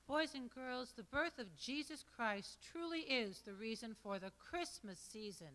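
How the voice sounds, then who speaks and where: A woman's voice: fairly high pitch, lively intonation, measured pace, a bit bright, in a large hall, soft background music. A woman speaking as the host of a children's show, addressing boys and girls at a holiday puppet performance.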